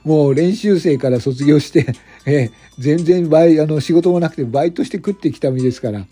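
A modest male comedian acted by a man talks politely and hesitantly in a radio drama. The voice is mellow and husky, with music underneath. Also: on the high side, brisk. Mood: puzzled, modest. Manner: deferential, hesitant. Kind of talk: amateur radio drama acting. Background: background music.

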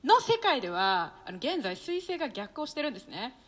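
Woman, radio host, very high voice, expressive pitch, exclamatory, mock-formal, casual banter. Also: brisk. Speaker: radio show host, stage actor.

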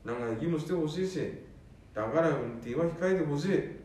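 A man's voice: fairly high pitch, lively intonation, normal speed, darkish tone, natural voice. A man playing an earnest eldest son who pleads with his father in a Nanbu dialect. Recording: echoey room, distant mic.

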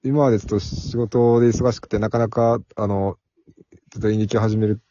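低くかすれた、控えめな男性の声. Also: male voice, fairly low pitch, little pitch movement, dark tone, dry recording. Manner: halting, hesitant, modest. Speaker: new member of amateur drama group, guest. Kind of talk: casual self-introduction. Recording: dull lo-fi online audio.

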